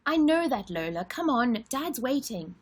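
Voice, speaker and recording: A woman voicing a sensible older boy who gently scolds his little sister in a storybook read-aloud. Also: high voice, sing-song, unhurried, breathy edge, voice pushed up, heavily acted. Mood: firm. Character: patient older boy, sensible big brother.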